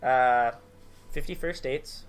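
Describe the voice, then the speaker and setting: A man's voice: medium pitch, takes it slowly, over a noisy crowd. A man hosting a casual podcast chat, speaking informally and swearing now and then. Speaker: podcast host.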